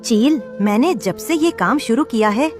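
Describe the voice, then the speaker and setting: A woman's voice: fairly high pitch, animated, measured pace, darkish tone. A woman voicing a boastful, blunt male crow who sells food in a Hindi children's cartoon. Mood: annoyed, scolding. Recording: music under the voice.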